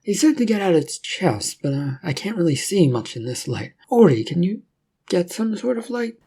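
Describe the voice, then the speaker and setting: A man's voice: high voice, very animated, normal speed, warm tone, slightly rough, voice pushed up, full character voice. A man voicing a polite, composed and chatty adventuring companion in a narrated story. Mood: earnest.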